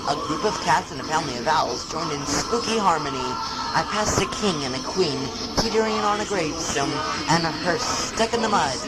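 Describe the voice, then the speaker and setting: A storyteller narrating a scripted, spooky first-person tale in a measured storybook manner. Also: mid-range voice, poor audio. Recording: loud music under the voice. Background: loud music.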